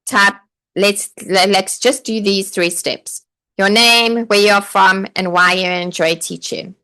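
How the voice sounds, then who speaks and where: Chatty woman coach, gravelly. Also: medium pitch, fairly even intonation, slow pace, bright, forceful tone. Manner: chatty, encouraging, reads viewer comments aloud; frequent "like" and "okay" fillers. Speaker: online teaching coach. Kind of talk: live online training session.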